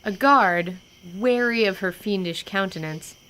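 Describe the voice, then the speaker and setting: A woman narrating and announcing a fantasy radio show in a calm, formal manner. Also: mid-range voice, measured pace. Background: loud music.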